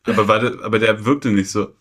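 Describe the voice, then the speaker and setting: A man's voice: on the low side, fairly even intonation, fairly quick, fairly bright tone. A young adult man co-hosting a podcast, chatting casually and comedically with informal slang and frequent interjections. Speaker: podcast co-host.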